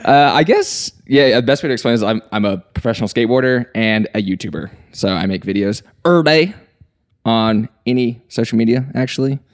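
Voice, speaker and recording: A man's voice: medium pitch, animated, brisk. A man chatting as a podcast guest, joking and playful. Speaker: guest, skateboarder and YouTuber. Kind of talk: casual podcast chat.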